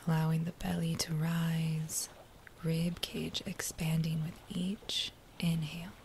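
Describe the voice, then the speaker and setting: Woman, lowish pitch, soft near-whisper, gentle meditation guidance, unhurried soothing phrases, faint background noise. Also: flat delivery, gravelly. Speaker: meditation guide. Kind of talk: guided body scan meditation.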